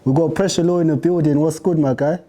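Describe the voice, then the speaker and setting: A man hosting a casual interview, asking short questions and giving quick affirmations. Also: on the high side, steady tone, mellow, soft background music. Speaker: interview host.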